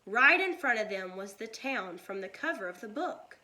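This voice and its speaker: A woman reading a children's story aloud like a teacher, with deliberate, expressive delivery. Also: medium pitch.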